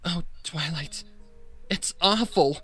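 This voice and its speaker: A man's voice: fairly high pitch, takes it slowly, sharp tone, husky, faint music behind, pitched above the performer's own voice, exaggerated voice. A man voicing a downcast, sleepless cartoon pony mare, pleading and dramatic, with a quivering delivery. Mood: distressed.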